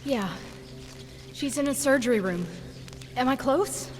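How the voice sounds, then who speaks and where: An actor playing a determined, protective young woman with a wry streak and an earnest, expressive delivery in a video game. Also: sits high, measured pace, soft background music. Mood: relieved, grateful.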